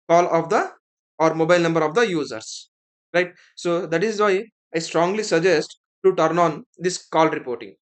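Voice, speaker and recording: A man with an Indian accent giving a step-by-step tutorial as an instructor, explaining and often checking in with the listener. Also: on the high side. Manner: explanatory, checks in with "right?" and "okay".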